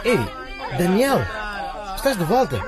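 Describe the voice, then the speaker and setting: A cocky young student in a radio drama. His slightly high voice is animated and impatient, with a faint crowd around. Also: unhurried, music in the background. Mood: impatient, cheeky.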